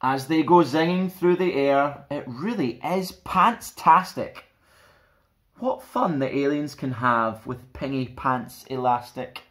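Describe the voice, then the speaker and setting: A young man presenting and reading for children in an expressive storytime style, recorded indoors at about arm's length from the camera. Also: mid-range voice, takes it slowly. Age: young adult. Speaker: storytime host reading a picture book. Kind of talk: expressive children's read-aloud with friendly presenting. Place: small plain indoor room.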